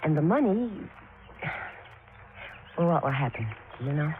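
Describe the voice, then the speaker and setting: A woman's voice: low-pitched, sing-song, unhurried, a little hiss or hum. An actress playing an earnest, polite, anxious young woman in a 1950s radio drama. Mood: friendly, worried. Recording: muffled old radio recording, background chatter.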